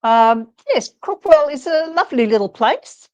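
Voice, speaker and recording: Higher-voiced woman in casual chat. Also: lively intonation, moderate pace.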